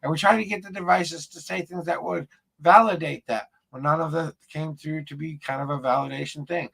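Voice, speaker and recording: A man hosts his own ghost-hunting vlog, talking straight to camera in a loose, rambling way and often restarting his sentences. His voice has a heavy gravelly fry, and it sits a bit higher than most men's. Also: steady tone, fairly quick, a bit bright. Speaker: paranormal investigator host. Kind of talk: casual talk to camera.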